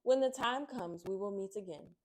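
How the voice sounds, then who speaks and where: A woman's voice: medium pitch, normal speed, a little mellow, natural voice. A woman voicing a glowing star in a children's storybook, a wise guardian who speaks earnestly and encouragingly. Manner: reassuring, earnest.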